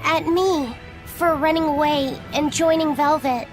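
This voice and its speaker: A woman's voice: high voice, steady tone, unhurried, faint music behind. A woman voicing a timid young boy who speaks hesitantly and meekly in a game. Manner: hesitant, meek. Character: timid young boy, a small gentle servant. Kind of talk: game voice acting.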